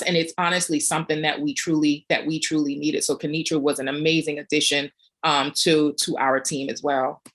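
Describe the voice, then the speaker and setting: A woman speaking on a panel as a community organizer, earnest and reflective, pausing with frequent fillers. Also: sits low, fairly bright tone. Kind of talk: panel discussion.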